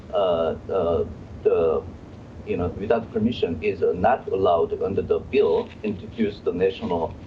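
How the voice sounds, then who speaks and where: On slightly noisy, dull audio, a man with a Korean accent and a very low voice speaks as an expert guest. His delivery is halting and hesitant as he searches for words. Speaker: law professor, guest expert. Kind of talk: live expert interview.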